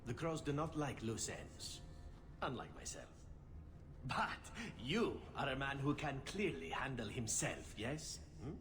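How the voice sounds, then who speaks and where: An actor playing a flirtatious, roguish former assassin in a video game, with a suave, teasing, theatrical manner. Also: male voice, medium pitch, big pitch swings, a little slow. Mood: teasing, sly. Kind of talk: video game character acting.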